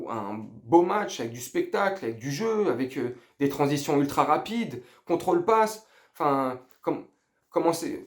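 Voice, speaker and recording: Informal, hesitant man giving a football debrief. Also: fairly high pitch. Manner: informal, slangy, hesitant and rambling; underwhelmed, lacking enthusiasm. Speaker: football fan vlogger. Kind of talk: casual post-match debrief to viewers.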